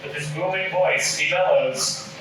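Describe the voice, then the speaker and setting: A man's voice: fairly high pitch, a little slow. A man reading stage directions as scripted narration at a live table read. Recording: echoey room, distant mic, clear noise.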